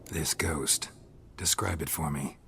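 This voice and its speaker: Very deep, terse monster hunter. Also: male voice, takes it slowly, gravelly, a little hiss or hum, full character voice. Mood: pensive, muted. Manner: terse, deadpan. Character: stoic monster hunter, gruff adult man, dry and unhurried. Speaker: video game protagonist. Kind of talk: video game voice acting.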